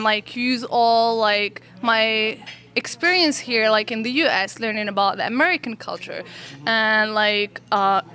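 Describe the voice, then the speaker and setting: A woman's voice: a little high, bright, forceful tone. A young woman from Morocco answering interview questions casually and hesitantly, with lots of filler words. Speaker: event attendee, project coordinator.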